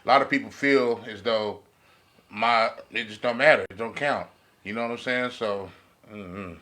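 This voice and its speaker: A man's voice: fairly low pitch, normal speed, sharp tone. An American man talking as a panelist in a casual podcast discussion, informal and slangy, often repeating his phrases.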